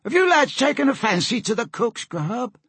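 A man voicing a touchy, aggrieved sailor cook in a storybook, loud and suspicious as he scolds. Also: very high-pitched, big pitch swings, moderate pace, breathy edge, no room sound, voice pushed up, heavily acted.

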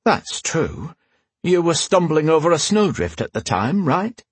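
Storybook warrior queen, played by a male narrator: high, husky, teasing, proud, quick to command. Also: sing-song, no room sound, full character voice. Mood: playful, teasing. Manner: playful, teasing, regal when commanding.

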